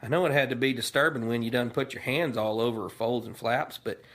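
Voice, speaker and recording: An actor playing a cheerful, dim-witted, scheming cowboy outlaw with a folksy rural Western accent in a comedy audio drama. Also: male voice, medium pitch, fairly quick, fairly bright tone, close to an everyday voice. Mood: reassuring. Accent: rural American West. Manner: folksy, mangled homespun sayings.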